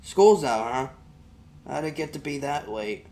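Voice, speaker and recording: A man's voice: mid-range voice, animated, measured pace, mellow, a little hiss or hum, voice pushed up. A man voicing a listless, self-pitying, scruffy drinking father, weary and resigned, in an audiobook. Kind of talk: acted audiobook character voice.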